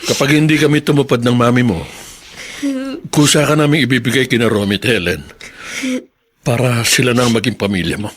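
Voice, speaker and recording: Actor as husky, repentant Filipino father. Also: mid-range voice, fairly quick, long pauses, lightly acted. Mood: repentant, earnest. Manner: earnest, conciliatory. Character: repentant, earnest father of a runaway son. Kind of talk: Filipino radio-style drama acting.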